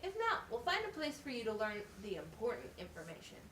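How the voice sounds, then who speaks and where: A woman's voice: a little high, wildly swooping pitch, fairly quick, fairly bright tone. A woman voicing an encouraging, methodical teacher in a scripted puppet show, explaining things plainly and asking questions. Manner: instructive, question-led, plain wording. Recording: roomy, distant mic, faint noise.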